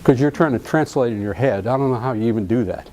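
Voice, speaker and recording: A man's voice: medium pitch, quick pace, darkish tone, a little hiss or hum. A middle-aged man from Alabama, giving a live classroom lecture on real estate contracts in a folksy, joking way and quizzing his students. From Alabama, US South.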